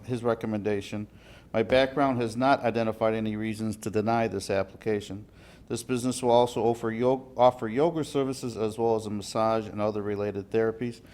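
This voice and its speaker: A man with a lowish voice presents a formal report at a village board meeting. He is businesslike and pauses on fillers and restarts as he goes. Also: darkish tone. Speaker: deputy liquor commissioner.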